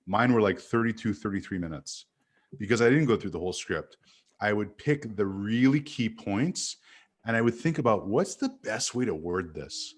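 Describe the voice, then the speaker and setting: A man from Montreal, an animated guest who tells stories and corrects himself as he chats on a video call. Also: fairly low pitch. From Canada. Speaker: guest sales speaker. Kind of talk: casual video-call chat.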